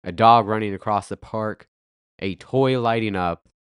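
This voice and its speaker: A man in his thirties hosting a podcast, speaking with a raspy, nasal voice from a head cold, earnest with bursts of playful energy. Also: lowish voice, measured pace, no echo, studio quality. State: congested with a cold, stuffy and nasal. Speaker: podcast host. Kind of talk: scripted podcast monologue.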